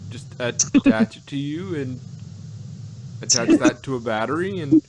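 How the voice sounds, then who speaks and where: Male podcast host, lowish voice, unhurried and halting, chuckly, noisy home-recorded sound. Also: wildly swooping pitch, darkish tone. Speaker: podcast host reviewing an audio drama. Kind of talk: casual chat.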